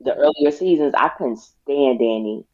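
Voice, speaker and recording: A woman with a raspy voice, co-hosting a casual discussion podcast and talking in a deliberate, emphatic way. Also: very deep voice, a little mellow, dry recording. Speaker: podcast co-host. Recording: dull, lo-fi sound.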